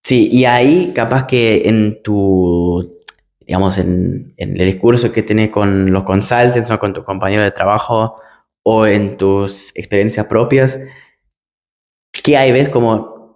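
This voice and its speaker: A man's voice: on the low side, darkish tone. A German man who speaks Spanish picked up in Argentina, hosting an interview in a casual, hesitant way with many restarts. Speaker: project manager, interviewer.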